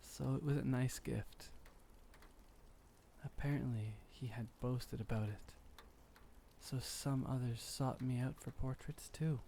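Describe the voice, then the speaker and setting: A man playing a gentle, refined, centuries-old vampire boyfriend in intimate ASMR roleplay, soft-spoken and soothing with formal wording. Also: mid-range voice, gravelly, a little hiss or hum.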